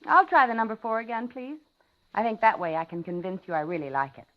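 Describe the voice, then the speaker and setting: An actress playing a polite, lonely older widow in a 1950s radio thriller, talking and narrating in a genteel, dramatic way. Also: female voice, medium pitch, sing-song, fast, lightly acted. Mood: genteel, coaxing. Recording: dull 1950s radio recording, music behind.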